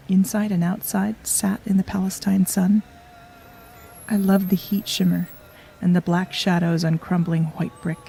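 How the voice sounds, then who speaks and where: A woman's voice: lowish voice, gravelly, dark tone, slight background noise, poor audio. A woman playing a wry, self-doubting young time traveler in an audio drama, with a wistful, confiding manner. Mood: wistful, affectionate. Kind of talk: audio drama, reflective letter narration and dialogue.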